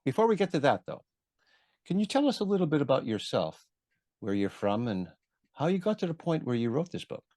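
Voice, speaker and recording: A man's voice: mid-range voice, very animated, a little fast, a little mellow, no room sound, studio quality. A man hosting an interview, asking questions in a hesitant way with many fillers. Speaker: interview host.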